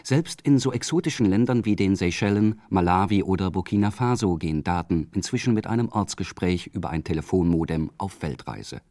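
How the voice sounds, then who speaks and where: A man reading a scripted radio documentary in a calm, measured way. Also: sits low, dry recording. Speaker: radio feature narrator.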